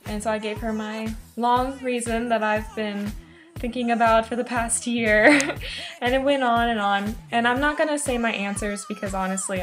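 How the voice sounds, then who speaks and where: A woman around twenty chatting casually to camera as a student vlogger, in an informal, rambling way, often interrupting herself. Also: mid-range voice. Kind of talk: casual chat to camera recounting an experience.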